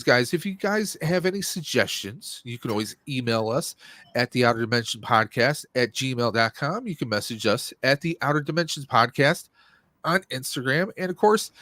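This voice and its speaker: A man in his forties hosts a casual livestream with a mid-pitched voice. His delivery is informal and sprinkled with jokey asides. The sound is dry, with very little room in it. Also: fairly bright tone, no room sound. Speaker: podcast host. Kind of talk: casual livestream chat about movies and games.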